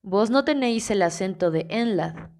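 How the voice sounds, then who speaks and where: A woman's voice: medium pitch, expressive, moderate pace, darkish tone, lightly acted. A woman reading the lines of an earnest, cautious young prince, polite and careful, in an audiobook.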